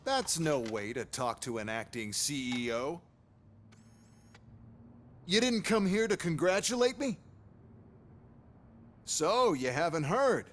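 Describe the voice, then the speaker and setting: A man's voice: on the high side, a bit bright, a little hiss or hum. A man playing a smug, callous corporate executive in a video game, talking in a sarcastic, gloating, condescending way. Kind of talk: video game character acting.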